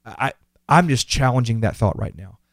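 A man's voice: mid-range voice, animated, moderate pace, creaky, no room sound, clean studio sound. A fifty-year-old man hosting a podcast, giving an earnest, reflective and motivational talk that repeats phrases for emphasis. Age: 50s. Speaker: podcast host.

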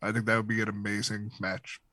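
A man talking casually as a podcast co-host, in an easygoing, measured way. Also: fairly low pitch, level tone, bright, forceful tone, no room sound, clean studio sound. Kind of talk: casual wrestling chat.

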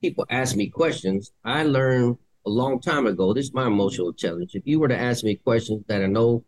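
A man's voice: mid-range voice, a little fast, darkish tone, dry recording, poor audio. A middle-aged man co-hosting a nursing podcast, talking bluntly with lots of swearing in a casual debate. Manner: blunt, profane, straightforward. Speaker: podcast co-host, nurse.

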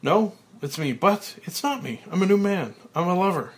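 A man reading aloud and voicing a brash male TV boss, loud, pleading and exclamatory. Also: mid-range voice, moderate pace, close to an everyday voice. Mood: elated. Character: frantic brash male TV boss facing death. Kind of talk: screenplay read aloud.

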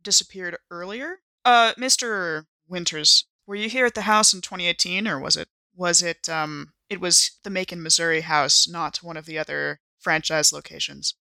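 A woman's voice: on the low side, normal speed, vocal fry, hardly any pauses, pushed and bright, dry recording. A woman playing in an improvised role-play podcast, talking conversationally in and out of character.

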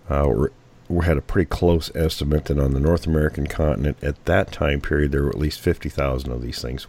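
A man with a cold and a hoarse voice, answering interview questions in a measured way. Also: very deep voice, warm tone.

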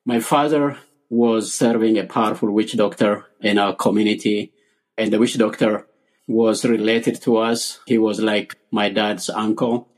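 A man's voice: fairly low pitch, steady tone, a little slow, darkish tone. A middle-aged man from Ethiopia, a podcast guest earnestly telling his life story in short, repeated phrases.

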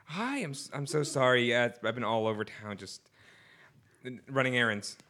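Man playing an anxious, animated married guy. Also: medium pitch, normal speed. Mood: flustered, pleading. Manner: nervous, stammering. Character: anxious flea-ridden married guy, reluctant drug dealer. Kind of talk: improvised comedy radio play.

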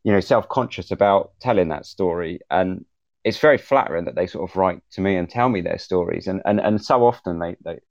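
A deep-voiced man speaks casually on a panel, circling back over his words as he goes. His phrasing is halting and informal. The recording is close and dry but a little dull. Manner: halting, repeats and hedges softly. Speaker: podcast host, panelist. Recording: dull, roomy, echoing audio.

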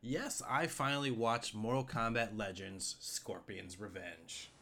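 A man's voice: lowish voice, a little slow, pushed and bright, soft background music. A man co-hosting a podcast, speaking in an informal, conversational style. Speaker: podcast co-host. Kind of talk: casual podcast chat and host-read ad.

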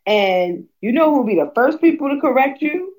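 A woman's voice: high-pitched, animated, moderate pace, a little mellow. A young adult woman talking candidly and bluntly in a casual conversation, with fillers and emphatic asides.

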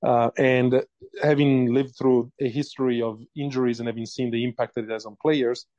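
A man's voice: mid-range voice, fairly even intonation, normal speed, darkish tone, dry recording, muffled recording. A man in his forties from Italy with an Italian accent, a company founder telling his story in a casual interview, hesitant with many fillers.

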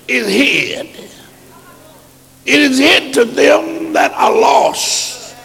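A hoarse man a sermon in a chanting style that builds into shouts. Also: very high-pitched, long reverb tail. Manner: chanted preaching rising to shouts. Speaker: preacher.

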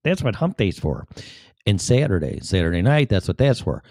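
A man's voice: fairly low pitch, very animated, moderate pace, darkish tone, no room sound, studio quality. A man with a Chicago accent hosting his live-stream show in a chatty, profane, self-deprecating ramble. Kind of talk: casual rambling monologue.

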